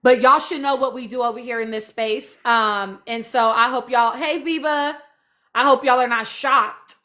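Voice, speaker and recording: Woman live host w a high bright voice, really emphatic, repeats herself to drive it home. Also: fairly even intonation, normal speed. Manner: emphatic, repeats phrases for stress, urging listeners. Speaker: live-stream host reading and answering audience comments. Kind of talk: impassioned informal live commentary.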